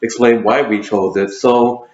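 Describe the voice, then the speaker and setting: A man's voice: mid-range voice, fairly even intonation, unhurried, a little mellow. A man hosting a casual question game, talking with many fillers and restarts. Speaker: host. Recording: roomy, dull lo-fi sound.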